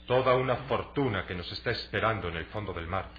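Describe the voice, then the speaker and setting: A man playing an earnest young adventurer in a Latin American Spanish radio drama, explaining things deliberately and persuasively. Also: on the low side, slight background noise, natural voice. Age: young adult. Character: earnest young athletic adventurer selling a treasure hunt. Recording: dull, lo-fi radio drama recording.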